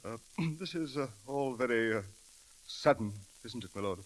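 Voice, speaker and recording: A male actor plays a stiffly polite British valet in an old radio comedy. He is formal and deferential, hesitating in quiet dismay, his mid-pitched voice moving expressively. The recording crackles with age. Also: animated, normal speed, lightly acted. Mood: subdued concern. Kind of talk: 1940s radio comedy acting.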